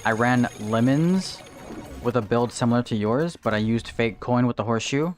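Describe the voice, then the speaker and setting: A man streams a game live, answering viewer chat as he plays and talking his strategy through out loud. His voice sits at an ordinary middle pitch. Light noise hums faintly behind him. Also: moderate pace. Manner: chatty, answers viewer chat while playing, thinks aloud. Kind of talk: casual livestream gameplay chat. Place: home, in Texas.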